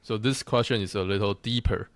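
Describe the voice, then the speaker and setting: Low-voiced Taiwanese man interviewing. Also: brisk, no room sound. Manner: brief, affirming with short remarks. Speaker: interviewer, host. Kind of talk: casual interview questions.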